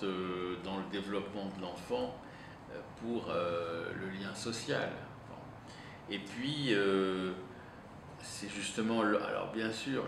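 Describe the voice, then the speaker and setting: A man's voice: fairly low pitch, vocal fry. A man whose first language is Italian, answering as a psychoanalyst in an interview, thinking aloud with frequent hesitations. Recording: roomy echo, distant mic, noisy.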